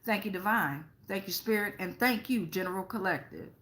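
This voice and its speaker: A woman's voice: medium pitch, fairly quick, a bit bright. A woman hosting an improvised tarot reading, talking colloquially to viewers with frequent check-in tags and some swearing. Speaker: host, tarot reader.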